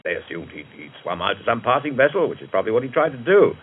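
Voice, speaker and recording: An actor playing a jovial, brisk British police records man in a radio drama, chatty and clipped in his delivery. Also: medium pitch, very animated, phone-quality sound, a little hiss or hum, close to an everyday voice. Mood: matter-of-fact recounting. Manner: chatty, clipped sentences with bursts of amusement.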